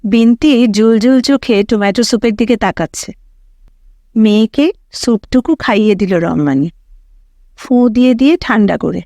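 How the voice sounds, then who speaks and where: A woman reads a story aloud in a fairly high voice. Her narration is dramatic and expressive, giving life to what the characters think and say. The sound is dry, with no room around it. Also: no echo. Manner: expressive, voicing characters' thoughts and dialogue. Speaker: audiobook narrator.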